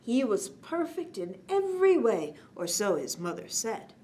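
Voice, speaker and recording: A woman with a slightly high voice hosts a story time for young children. She reads expressively and speaks to the listeners in a gentle, chatty way. The recording carries noticeable background noise. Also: very animated, measured pace. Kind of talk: read-aloud bedtime story with chatty asides. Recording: clearly noisy background.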